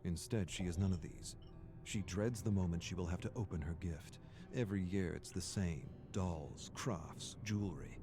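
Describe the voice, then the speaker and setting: A man performing as a solemn storyteller, narrating a video game's character stories in measured, dramatic phrases. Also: deep voice, music in the background, natural voice. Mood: grave, measured.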